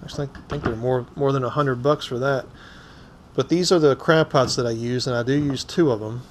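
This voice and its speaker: Low-voiced man in noisy action-cam audio. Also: normal speed. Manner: informal, explaining item by item. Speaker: host of a fishing vlog. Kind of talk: casual hands-on gear walkthrough. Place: indoors in a cluttered garage. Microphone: head-mounted action camera.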